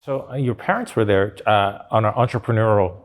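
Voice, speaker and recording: A man's voice: lowish voice, a little fast. A middle-aged man with a Canadian accent, interviewing a guest conversationally as a podcast host.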